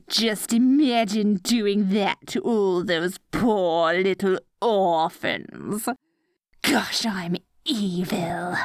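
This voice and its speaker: Evil queen for ASMR roleplay, voiced by a woman: adorkable, mock-grand, petty yet soft-hearted, very unhurried, dry recording. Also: medium pitch, no room sound. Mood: gloating. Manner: mock-villainous grandeur with awkward, stammering asides. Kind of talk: ASMR roleplay, addressing the listener directly.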